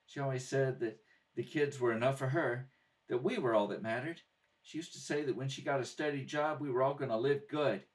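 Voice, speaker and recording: A man's voice: medium pitch, close to an everyday voice. A man voicing a proud, earnest young boy who is protective of his family in a radio drama read-through. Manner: earnest, insistent. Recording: distant mic in a home kitchen.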